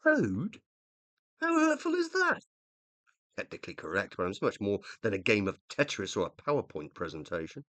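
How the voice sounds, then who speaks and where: An actor playing a dead scientist husband in a radio play, middle-aged and British, pompous, plaintive and indignant. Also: male voice, on the low side, huge pitch swings, moderate pace, a bit bright, dry recording. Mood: wounded, wistful. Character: dead scientist husband living as an uploaded consciousness, needy, indignant. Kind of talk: radio play dialogue and monologue.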